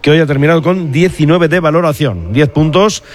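A man's voice: on the high side, fairly quick. A man doing live sports commentary, describing the scene for listeners.

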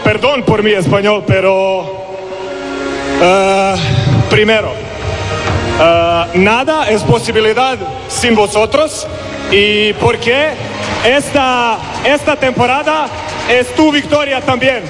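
High-voiced man in halting non-native speech. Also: music in the background. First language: Serbian. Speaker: basketball player giving a speech. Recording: roomy arena from far off.

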